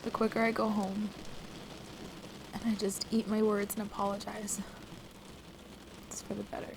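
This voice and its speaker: A distressed, defensive woman played by an actress in an intimate audio roleplay. Her voice is creaky and halting, full of emotion, at a middling pitch. Clear background noise like rain runs behind her. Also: flat delivery, natural voice. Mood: weary, subdued. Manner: halting, emotional, pleading conversational delivery. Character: distressed, soaked woman wanting to leave her partner, defensive. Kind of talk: intimate ASMR roleplay audio drama, one side of a conversation.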